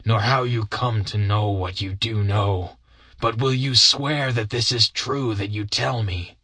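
A man voicing a bitter, broken-down old ex-soldier who speaks slowly and grudgingly. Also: fairly low pitch, steady tone, bright, forceful tone, husky, no echo, exaggerated voice. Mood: defiant. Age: older. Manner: slow, heavy, grudging storytelling. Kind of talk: audiobook character reading.

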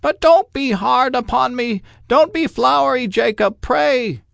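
A man voicing a fearful old male miser, high and quavering as he pleads. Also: unhurried, vocal fry, a bit bright, no room sound, studio quality, exaggerated voice. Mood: pleading.

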